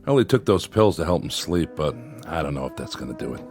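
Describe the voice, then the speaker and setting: An actor playing a steady, tactful army captain who is protective of his men, in a war radio drama. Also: a bass voice, faint music behind, heavily acted. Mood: concerned. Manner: measured, tactful. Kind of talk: audio drama acting.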